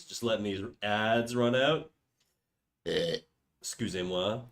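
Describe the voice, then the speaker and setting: A man's voice: on the low side, slow pace, a bit bright. Congested young man, stuffy nasal voice. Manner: casual, swearing, rambling. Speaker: streamer. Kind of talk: casual livestream chat. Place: home room.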